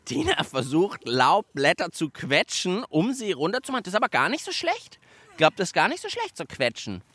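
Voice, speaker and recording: A man's voice: high voice, wildly swooping pitch, brisk, a bit bright, a little hiss or hum. A man hosting a children's radio show outdoors among autumn leaves, chatting playfully and explaining what he is doing. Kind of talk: lively radio chat while building.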